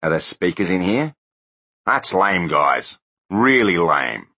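A man voicing an adult male hired killer who sneers, then breaks into shrill panic. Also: sing-song, slow pace, pushed and bright, full character voice. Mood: sneering.

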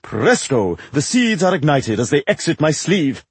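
Casting: male narrator as a grandiloquent aristocratic showman, raised pitch, highly animated, theatrical fantasy storybook flourish. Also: measured pace, slightly rough, no room sound, full character voice. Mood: proud, showy. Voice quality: exaggeratedly aristocratic delivery. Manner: grandiloquent, wordy, showy.

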